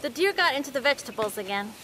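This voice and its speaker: A woman's voice: sits high, animated, very fast, pushed and bright, slight background noise, poor audio. An actress playing a caring, practical woman survivor in a naturalistic audio drama.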